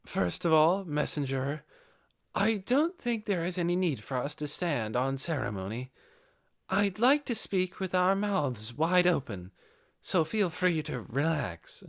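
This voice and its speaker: A man voicing a cynical, composed young female lizardfolk chief in an audiobook, speaking politely and in a measured, slightly wry way. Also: on the high side, sing-song, vocal fry, no echo, clean studio sound, voice pushed up, full character voice.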